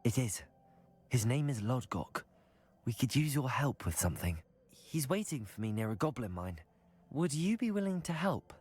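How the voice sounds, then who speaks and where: A man's voice: mid-range voice, big pitch swings, normal speed, darkish tone, breathy edge. A young man playing a composed, helpful teenage student in a fantasy game, polite and inquisitive. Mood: friendly. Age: young adult. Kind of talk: video game acting.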